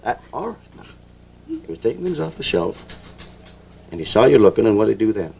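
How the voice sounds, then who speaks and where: A bullying small-town shopkeeper from a vintage TV drama. His pitch lurches up and down as he badgers people, repeating himself with a volatile, controlling temper. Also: sing-song, a little fast, vocal fry, a little mellow, slight background noise. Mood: coercive, pressing. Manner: badgering, repeats questions insistently.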